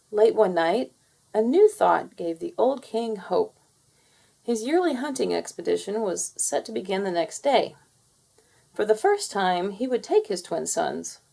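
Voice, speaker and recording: A woman reading a children's picture book aloud in an expressive storytelling manner. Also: medium pitch, creaky. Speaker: storybook reader. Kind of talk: children's read-aloud narration.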